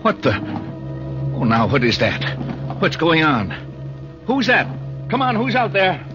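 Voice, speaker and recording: An actor playing a grumpy, miserly moneylender with sour, slangy bluster in 1940s radio style. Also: male voice, on the high side, big pitch swings, unhurried. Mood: frightened. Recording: muffled 1940s radio recording, music behind.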